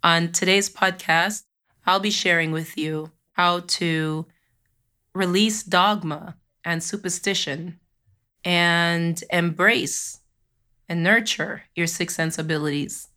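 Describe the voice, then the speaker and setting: A Jamaican-born woman hosts a spiritual podcast, her lowish voice smooth and clear despite the cold she is fighting. She talks in a loose, rambling way with plenty of fillers, after chanting slow affirmations. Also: steady tone, sharp tone. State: has a cold, losing her voice. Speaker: podcast host.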